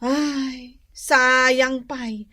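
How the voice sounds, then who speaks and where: A woman's voice: sits high, fairly even intonation, very slow. An actress playing a nagging mother after a relative's money in a radio comedy drama, with an Ilocano accent. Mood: exasperated.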